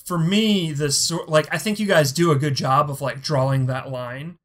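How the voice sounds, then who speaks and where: Chatty guest with a fairly high voice. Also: male voice, fairly even intonation, moderate pace, a bit bright. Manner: chatty, lots of "like" fillers. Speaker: podcast guest. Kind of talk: casual conversation.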